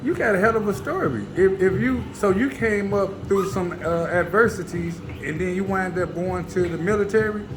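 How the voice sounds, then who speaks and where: A man's voice: high-pitched, normal speed, few pauses, noisy background. A man hosting a casual podcast interview, affirming and informal in his speech. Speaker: podcast host. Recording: echoey room, music behind.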